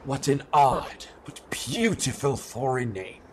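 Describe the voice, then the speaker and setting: A man's voice: mid-range voice, animated, slow, a little mellow, a little hiss or hum. A man voicing an eccentric, kindly but secretive older alien in an amateur radio play, speaking in a theatrical, formal, rambling way. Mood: musing, kindly.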